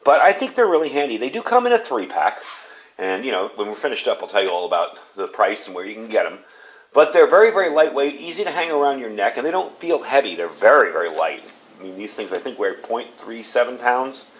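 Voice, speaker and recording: A man's voice: medium pitch, sing-song, a little fast, low-quality recording. A man hosting a casual hands-on product review, talking in a folksy, plain-spoken way and repeating himself for emphasis. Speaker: product reviewer and channel host.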